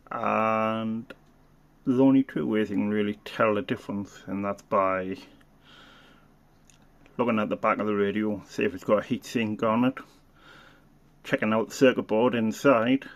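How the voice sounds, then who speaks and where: A man with a fairly low voice and a lot of gravelly fry talks through a hands-on demonstration. He is unscripted and casual, a hobbyist reviewer who halts and thinks aloud as he works through each feature.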